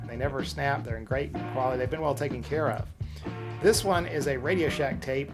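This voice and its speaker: A man hosts a hobby video in a casual, conversational way. His mid-pitched voice has a lot of creak and fry as he explains things. Some music sits behind him. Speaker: vlog host.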